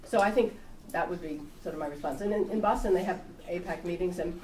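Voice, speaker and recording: A woman's voice: on the low side, fast talker, a little mellow. A woman giving a public talk and answering questions, wry and conversational. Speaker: invited speaker. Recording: echoey room, distant mic, noisy.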